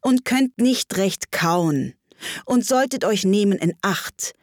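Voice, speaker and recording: A woman's voice: medium pitch, animated, takes it slowly, no echo, studio quality. A woman voicing a cheeky young boy in a sing-song storybook verse recitation.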